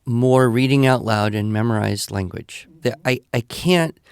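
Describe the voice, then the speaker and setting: A man's voice: mid-range voice, fairly even intonation, unhurried, clean tone, no echo. Older american guy explaining stuff and reassuring people, chatty advice, really dry recording. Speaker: podcast expert answering listener questions. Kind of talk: conversational advice.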